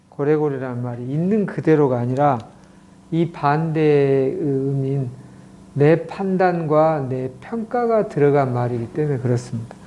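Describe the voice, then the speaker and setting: Man lecturing, mellow and a bit high. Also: dull audio. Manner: explains slowly with many acted example quotes. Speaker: clinic director teaching communication. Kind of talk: lecture to an audience.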